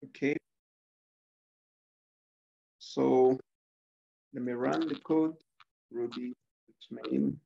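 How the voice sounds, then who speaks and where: A man's voice: medium pitch, fairly even intonation, very slow, gravelly, pauses often, mellow, a little hiss or hum. A young man presenting a student coding project over a video call, hesitant and full of filler sounds. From Rwanda. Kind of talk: screen-share software demo. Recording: slightly dull lo-fi audio.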